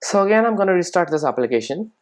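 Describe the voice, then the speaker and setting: A software instructor giving an informal screencast coding tutorial, explaining step by step and often checking that listeners follow. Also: mid-range voice, very animated, fast talker. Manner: explanatory, frequently checking understanding with tag questions.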